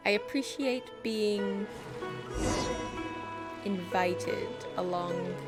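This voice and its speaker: A woman's voice: medium pitch, drawn-out words, loud music behind the voice. A woman streaming a game with casual, playful and wry commentary. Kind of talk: casual gameplay commentary, reading and reacting aloud.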